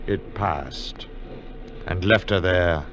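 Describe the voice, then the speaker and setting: Grave male adventurer-narrator, vintage radio drama: very low, deliberate, dramatic. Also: fairly bright tone, a little hiss or hum, heavily acted. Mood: awed, intense. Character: grave male adventurer recounting a strange tale. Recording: dull old 1940s radio recording with music.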